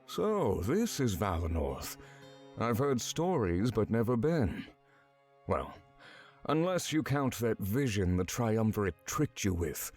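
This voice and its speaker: A man voicing an earnest, reserved former inquisitor in a fantasy visual novel, speaking gravely and politely in measured phrases. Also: lowish voice, big pitch swings, a little mellow, faint music behind, heavily acted. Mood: regretful. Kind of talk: visual novel game voice acting.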